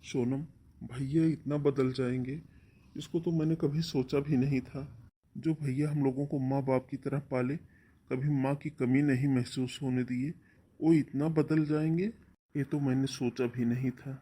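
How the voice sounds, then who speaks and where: A man's voice: mid-range voice, fairly even intonation, unhurried, mellow, breathy edge. A man voicing a protective, defiant young brother, earnest and firm, in a melodramatic cartoon story. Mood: concerned, resolute.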